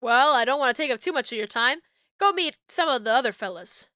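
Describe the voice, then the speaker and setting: A neighborly, earnest suburban dad from a dating-sim game, read by a woman in her own high voice. The tone is bright and clear with a dry, close sound. He is kind and sincere, not showy. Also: animated, fast, no echo. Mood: friendly.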